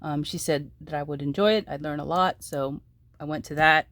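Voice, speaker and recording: A woman with a sore-throat hoarseness chatting casually as a craft vlogger, rambling with many ums and restarts. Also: sits low, fairly even intonation, normal speed, a bit bright, no room sound, clean studio sound. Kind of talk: casual chat showing crafts.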